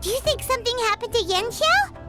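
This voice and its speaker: A woman voicing a tiny, perky, childlike fairy companion in a video game, excitable and fond of money. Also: a little fast, heavily acted. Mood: curious. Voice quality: squeaky, childlike. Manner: perky, speaks of self in third person. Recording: music playing behind the voice.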